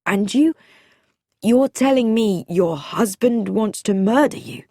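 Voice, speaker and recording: A woman's voice: medium pitch, expressive, takes it slowly, dark tone, no echo, studio quality, pitched above the performer's own voice. A woman voicing an earnest, protective young male amateur sleuth in a mystery story, with a direct manner. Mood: startled, questioning. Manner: direct, reassuring, questioning.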